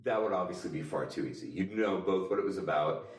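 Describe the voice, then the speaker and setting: A man's voice: sits low, fast talker. A man hosting a casual comedic podcast quiz, with a playful, wordy, teasing way of talking. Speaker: podcast host and quizmaster. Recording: roomy echo, distant microphone.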